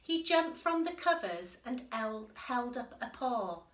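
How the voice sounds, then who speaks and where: A woman with a fairly high voice reads a picture book to young children. She takes her time and tells it expressively, with emphatic phrasing and chatty asides. The room gives her voice a clear echo. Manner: animated, deliberate storyteller with emphatic delivery. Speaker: infant school teacher reading aloud. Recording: roomy, echoey indoor sound.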